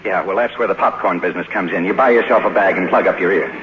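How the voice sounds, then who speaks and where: A man's voice: low voice, fast talker, a little hiss or hum, close to an everyday voice. An actor playing a cynical, down-on-his-luck young screenwriter in a 1950s radio drama, sardonic and wisecracking. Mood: flippant. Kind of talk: 1950s radio drama acting and noir narration. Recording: muffled vintage radio broadcast with music.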